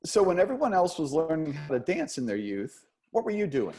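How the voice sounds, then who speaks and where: A man playing a patient, gently teasing dance instructor of sixty-one in a play reading. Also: on the high side, very animated, fairly quick. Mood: friendly, curious. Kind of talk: play reading over video call.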